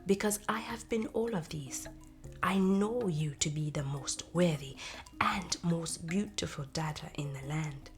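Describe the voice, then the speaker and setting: Woman voicing a calm, regal snake king. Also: sits low, a little slow, soft background music. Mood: solemn, tender.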